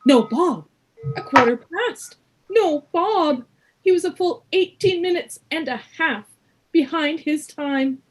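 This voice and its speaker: A woman narrating a radio play as a storyteller, with measured, dramatic phrasing. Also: high-pitched, animated, poor audio.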